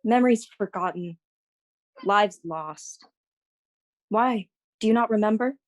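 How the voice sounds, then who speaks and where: A woman's voice: medium pitch, slow, close to an everyday voice. A woman playing a small ghostly spirit, forlorn and questioning in short fragments, at a script table read. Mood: mournful, eerie.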